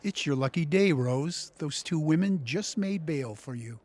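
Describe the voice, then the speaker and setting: Audio comedy bit part: brisk male jailer, man acting, slightly high voice, official tone, noisy track. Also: animated, darkish tone. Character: matter-of-fact male jailer releasing prisoners. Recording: clearly noisy recording.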